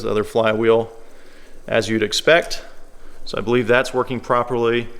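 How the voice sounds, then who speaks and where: A man with a medium-pitched voice gives a casual product demonstration. He talks like a shop presenter walking a viewer through an item, explaining each part in a conversational way.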